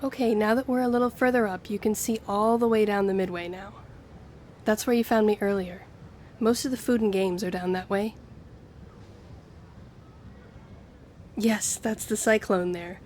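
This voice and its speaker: A woman's voice: medium pitch, fairly even intonation, moderate pace, darkish tone, natural voice. A woman playing a friendly, earnest young woman with a shy romantic streak in an intimate audio roleplay, speaking to the listener. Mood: cheerful, relaxed. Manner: chatty, earnest, flustered hesitations when romantic. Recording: clear background ambience noise.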